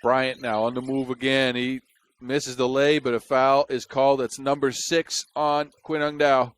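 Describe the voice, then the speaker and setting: A man's voice: mid-range voice, level tone, measured pace, smooth. A man from the Netherlands with a Dutch accent, giving chatty, wry play-by-play basketball commentary on a close mic. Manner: chatty and wry, with jokes between plays.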